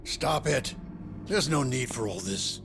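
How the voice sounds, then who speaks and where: A man's voice: mid-range voice, expressive, slow pace, gravelly. A man playing a formal, guarded treasure expert in a video game dub, speaking precisely and pedantically. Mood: rattled. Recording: loud music behind the voice.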